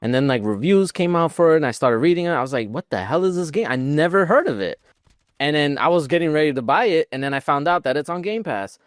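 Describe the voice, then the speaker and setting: A man's voice: a little high, animated, fast talker, dry recording, studio quality. A man co-hosting a podcast, chatting informally about video games in a self-deprecating way. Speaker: podcast co-host.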